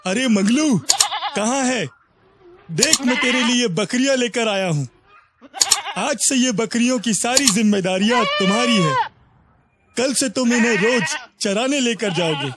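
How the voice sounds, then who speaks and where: A man's voice: very high-pitched, a little slow, heavy background noise. A man voicing a greedy, heartless, bullying village moneylender in a cartoon moral story, bossy and scornful.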